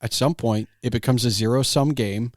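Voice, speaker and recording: A man's voice: medium pitch, fairly even intonation, moderate pace, darkish tone, no room sound, clean studio sound. A man hosting a podcast in a casual, informal way, talking emphatically and repeating his phrases. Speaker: podcast host. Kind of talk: casual chat.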